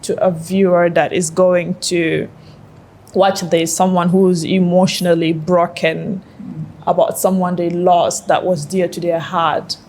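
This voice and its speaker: A woman's voice: fairly low pitch, little pitch movement, unhurried, a little mellow. A woman, hosting a conversational interview. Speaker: interview host.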